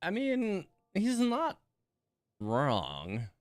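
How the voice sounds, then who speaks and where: A man hosting a game livestream, reacting casually in a rambling, hesitant way with drawn-out emphasis. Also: high voice, wildly swooping pitch, no room sound, studio quality. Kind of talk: casual live commentary reacting to game voice lines.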